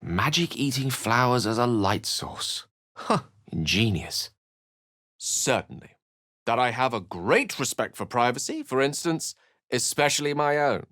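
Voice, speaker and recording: A man's voice: medium pitch, big pitch swings, unhurried, fairly bright tone, dry recording, clean studio sound. A man voicing a refined, witty wizard for a video game, speaking in an articulate, theatrical, wry way. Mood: wry, urbane. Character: eloquent, witty, refined human male wizard. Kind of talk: video game character voice lines and narration.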